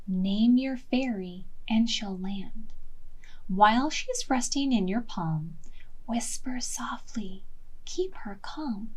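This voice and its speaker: Measured, higher-pitched woman reading to preschoolers. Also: a little mellow, rough recording. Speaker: children's library storytime host. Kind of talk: read-aloud picture-book storytelling for preschoolers.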